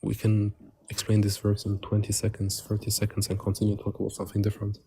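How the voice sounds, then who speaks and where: A man's voice: lowish voice, monotone, fast, creaky, warm tone, slight background noise. A man on a live stream as a caller in a casual argument, interjecting for his turn, his voice run through a voice changer. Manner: interjecting, asks for a moment to speak.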